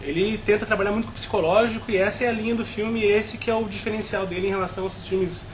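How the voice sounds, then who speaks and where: A man's voice: sits high, fairly even intonation, fast talker, a little hiss or hum, poor audio. A Brazilian man on a film review panel, talking at length with frequent restarts and tag questions.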